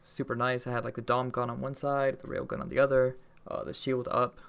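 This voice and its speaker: A man's voice: medium pitch, level tone, brisk, creaky, fairly bright tone, smooth. A man co-hosting a podcast, chatting and telling stories in an informal, casual way. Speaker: podcast co-host. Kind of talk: casual chat and storytelling.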